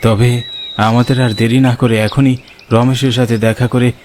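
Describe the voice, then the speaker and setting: A man's voice: medium pitch, level tone, normal speed, a little mellow, slight background noise, close to an everyday voice. A man voicing a concerned middle-aged father in a horror cartoon. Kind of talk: cartoon horror drama dialogue.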